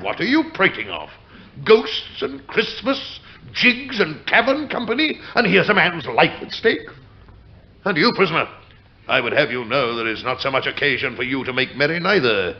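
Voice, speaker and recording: A sardonic judge of a period courtroom drama, blustering and impatient. His fairly high voice swoops widely, on a muffled recording with faint noise. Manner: jeering, impatient. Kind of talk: period radio play acting.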